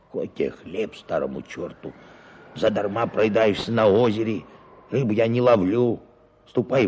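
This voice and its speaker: Very deep, gravelly old peasant. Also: male voice, dark tone, full character voice. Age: older. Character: weary old peasant forest watchman, lifelong bachelor, humble. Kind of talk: radio drama acting. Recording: clearly noisy radio play recording.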